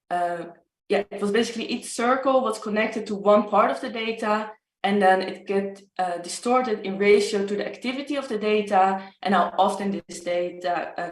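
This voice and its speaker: A woman presenting her art project on a video call, hesitant and full of fillers. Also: medium pitch. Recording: echoey, distant video call audio.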